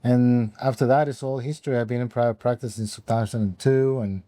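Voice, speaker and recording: A man, an OB/GYN guest telling his career story in halting, unhurried speech full of ums. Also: mid-range voice, steady tone, dark tone, no echo. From Mexico. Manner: halting, with frequent ums and restarts. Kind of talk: conversational interview answers.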